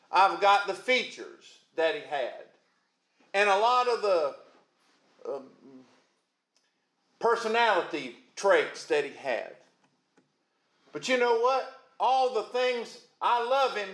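A man preaching a sermon in a booming voice, folksy and calling out to the congregation. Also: fairly bright tone, roomy sound. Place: church.

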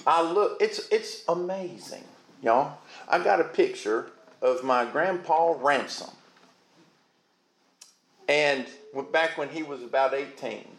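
A man preaching a sermon in a booming voice, folksy and calling out to the congregation. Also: slow, a bit bright, roomy sound. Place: church.

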